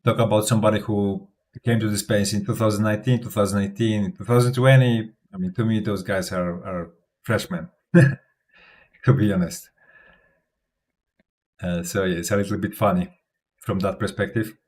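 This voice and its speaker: Low-voiced man with a Polish accent. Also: fairly even intonation, moderate pace, long pauses, darkish tone. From Poland. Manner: chatty, frequent restarts and little laughs. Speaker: crypto YouTuber. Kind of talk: casual talking-to-camera vlog and Q&A.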